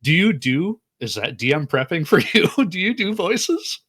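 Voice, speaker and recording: A man's voice: on the high side, very animated, moderate pace, fairly bright tone, husky. A man co-hosting a casual podcast, playful and teasing, who laughs as he talks. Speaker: podcast co-host.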